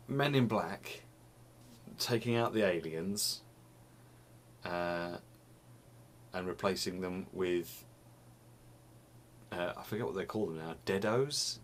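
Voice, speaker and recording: A man's voice: deep voice, slight background noise. A young man chatting casually to camera in a small home room, rambling and restarting his phrases, slightly sniffly. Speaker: vlogger.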